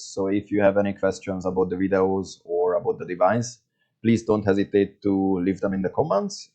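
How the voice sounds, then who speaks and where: Low-voiced Hungarian man, muffled sound. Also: warm tone. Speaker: video reviewer. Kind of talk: scripted product review to camera.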